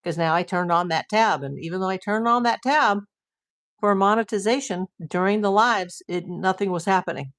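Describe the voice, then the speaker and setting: A woman's voice: medium pitch, normal speed, fairly bright tone. A middle-aged woman hosting a casual livestream, chatting and reading viewer comments while losing her voice. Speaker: livestream host.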